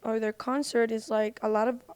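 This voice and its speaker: Clear, higher-pitched woman in casual conversation. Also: little pitch movement, moderate pace, a little mellow. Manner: informal, slangy, frequent "like". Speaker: podcast guest, singer. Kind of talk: casual chat. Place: podcast recording.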